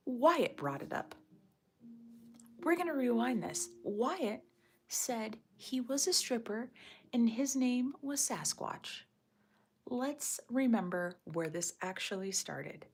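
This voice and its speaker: Woman half-whispering on a morning livestream, gravelly froggy voice, rambly and jokey, lots of hiss behind her. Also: mid-range voice. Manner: hushed, half-whispered talk, rambling and joking. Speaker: livestream host reading chat. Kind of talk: casual morning livestream chat. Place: home with others asleep. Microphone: phone or camera on a tripod.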